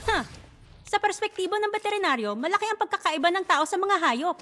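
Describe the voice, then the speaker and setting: Cutesy disdainful female vet, game character, high, swooping intonation, reverberant. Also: expressive, brisk, a bit bright. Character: cutesy female veterinarian who disdains humans.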